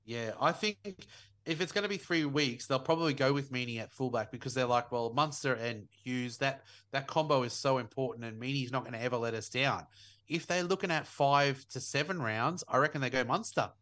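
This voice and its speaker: A young man talks sports in a loose, joking podcast chat. His voice is bright and forward, with a playful edge, and he keeps it casual. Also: mid-range voice, brisk. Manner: jokey, animated, laughs often. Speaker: podcast co-host.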